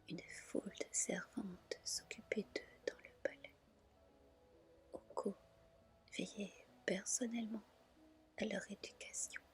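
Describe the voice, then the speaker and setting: A woman softly and gently reading a fairy tale as an ASMR storyteller. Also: mid-range voice. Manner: soft, gentle, unhurried narration.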